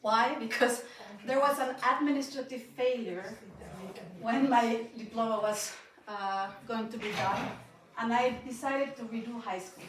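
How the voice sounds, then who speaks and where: A young woman with Spanish as her first language gives a talk at an event, reading from her notes in a fairly high, nervous, slightly shaky voice. She sounds heavily echoey and set back from the microphone, as if caught from across a big hall, with a faint hiss underneath. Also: steady tone, unhurried, crowd noise. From Mexico and Austria. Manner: nervous, shaky, with small laughs. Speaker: speaker giving a talk. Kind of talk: reading a personal talk aloud to an audience. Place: event hall at a building inauguration. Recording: echoey hall, speaker far from mic.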